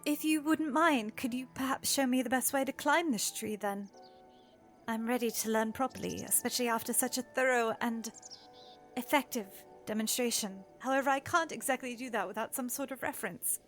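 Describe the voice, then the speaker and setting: A woman's voice: on the high side, normal speed, soft background music, lightly acted. A woman playing a polite, eager young princess with formal, earnest phrasing in an audio drama. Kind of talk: scripted audio drama acting.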